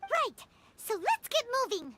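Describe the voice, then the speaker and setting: Squeaky, very high-pitched childlike fairy. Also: female voice, wildly swooping pitch, unhurried, bright, forceful tone, exaggerated voice. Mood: eager. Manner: exclamatory, eager. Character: tiny excitable childlike fairy girl companion, curious, impatient. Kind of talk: video game voice acting. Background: game music.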